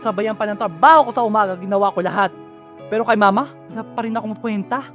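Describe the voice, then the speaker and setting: A man's voice: high-pitched, fast talker, a bit bright, soft background music. A young man with a Filipino accent playing a weary, resentful eldest son who supports his family, earnest and emotional, in an amateur radio drama. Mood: hurt, frustrated. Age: young adult.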